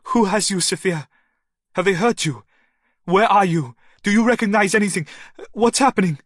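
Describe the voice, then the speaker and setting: A man doing a frantic young man shouting. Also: sits high, moderate pace, dry recording, studio quality, voice pushed up. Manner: shouting, frantic, urgent questions. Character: frantic young man desperate over his fiancée.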